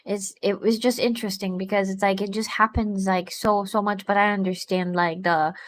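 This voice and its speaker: Host, young woman from Bulgaria, chatty and animated, mid-pitched voice. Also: moderate pace, slight background noise. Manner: animated storytelling with frequent fillers. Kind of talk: casual conversation. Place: home in a small town.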